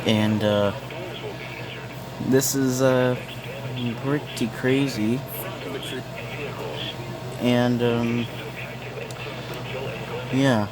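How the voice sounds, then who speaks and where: Man, casual vlog talk, halting, unhurried pace, mid-pitched, noisy recording. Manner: halting, repetitive. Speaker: amateur vlogger filming weather radio alerts. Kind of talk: casual, unscripted vlog narration.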